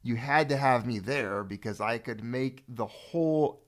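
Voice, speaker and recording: A man's voice: medium pitch, unhurried. A middle-aged man hosting a casual home chat, rambling and joking. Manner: chatty, rambling, jokey. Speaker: channel host.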